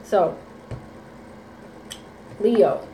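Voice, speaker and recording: A woman's voice: medium pitch, expressive, drawn-out words, warm tone, reverberant, a little hiss or hum, low-quality recording. A woman giving a casual, rambling tarot reading. She sounds nasal from sinus congestion and keeps checking in with "okay?" and "you know". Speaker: tarot reader.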